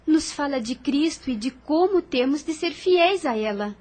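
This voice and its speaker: A worried young sister with a high, bright voice who questions her brother anxiously and clings to her faith, as a woman acts her out. She speaks in an audio drama. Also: expressive, normal speed.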